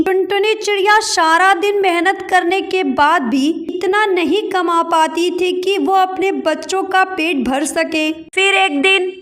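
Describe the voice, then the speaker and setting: Woman reading a kids cartoon story, super high voice, nice and slow. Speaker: narrator. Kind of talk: scripted children's story narration.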